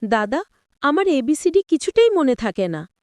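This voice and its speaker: A woman's voice: sits high, sing-song, moderate pace, a little mellow, no echo, clean studio sound, voice pushed up. A woman voicing a little village girl, an open, childlike young pupil in a children's story. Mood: troubled.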